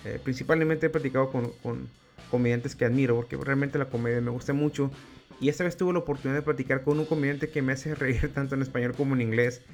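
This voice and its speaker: A man's voice: mid-range voice, fairly even intonation, fast talker, faint music behind. A man in his forties with a Mexican accent hosting a podcast in a casual, slangy way and swearing freely. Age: 40s. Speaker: podcast host. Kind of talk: podcast introduction and casual interview chat.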